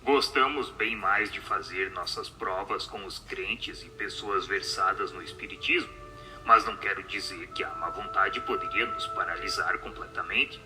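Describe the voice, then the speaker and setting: A man's voice: low-pitched, moderate pace, sharp tone, faint music behind, voice pushed down, rough recording. A man voicing a solemn, assured spirit who answers questions in a radio drama.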